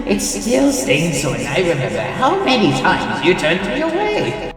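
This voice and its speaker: Sly, needling robot lieutenant, highish and wry, theatrical, long reverb with music behind. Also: male voice, huge pitch swings, heavily acted. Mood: amused, nostalgic. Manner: theatrical, wry, drawn-out teasing. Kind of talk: dramatic comic dub acting.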